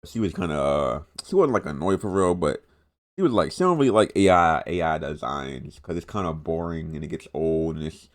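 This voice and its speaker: A man's voice: a bass voice, expressive, fairly quick. A young man with an American accent giving casual, unscripted reaction commentary in a slangy, repetitive, rambling way. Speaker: reaction video host.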